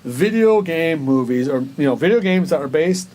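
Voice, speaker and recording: Higher-voiced man chatting over background noise. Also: animated, normal speed, a little mellow. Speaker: co-host. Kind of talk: casual movie chat.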